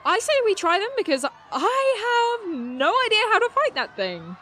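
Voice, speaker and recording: A woman playing a brainy, excitable teenage girl who talks in formal, wordy bursts in an audio drama. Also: extremely high pitch, normal speed, a little hiss or hum, exaggerated voice. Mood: anxious, analytical.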